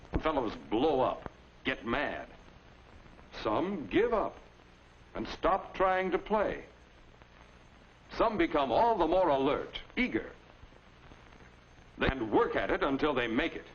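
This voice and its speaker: A middle-aged man playing a calm, fatherly high school principal in a 1950s instructional film, measured and instructive. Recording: muffled, noisy 1950s film soundtrack.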